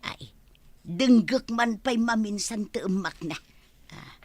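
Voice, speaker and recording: A woman's voice: fairly high pitch, slow pace, a little hiss or hum. A woman with an Ilocano accent playing a bossy farmer's wife who keeps watch on her husband in an audio drama. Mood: puzzled.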